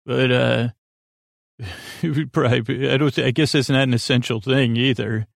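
A man's voice: medium pitch, steady tone, moderate pace, gravelly, dry recording, studio quality. A man hosting a sleep podcast in a rambling, hesitant monologue, self-deprecating and reassuring, often losing his thread. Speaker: podcast host.

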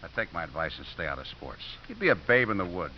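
An actor playing a sardonic, breezy ex-coach turned pool hustler in a 1950s radio drama. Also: male voice, low voice, big pitch swings, fast talker. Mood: bitter, sardonic. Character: cynical, wisecracking washed-up football coach turned pool hustler. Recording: muffled, hissy old 1950s radio recording.

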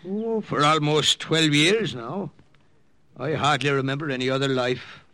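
An actor playing a kindly, paternal missionary priest, halting and avuncular, in old radio drama style. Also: male voice, fairly high pitch, pushed and bright, dry recording. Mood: genial, fond. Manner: halting, avuncular, with little hesitations. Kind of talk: 1940s-50s radio drama acting.

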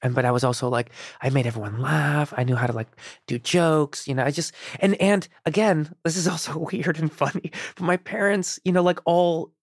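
A man's voice: fairly high pitch, steady tone, moderate pace, hardly any pauses, no room sound, clean studio sound. A man who grew up in Iran and speaks Persian as his first language, telling his story as an interview guest in a rambling, candid way, full of restarts and fillers. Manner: rambling, many restarts and fillers, laughs easily.

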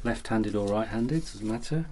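A man's voice: on the low side, normal speed, darkish tone, a little hiss or hum. A man in casual, unscripted conversation on a podcast. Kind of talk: casual product chat.

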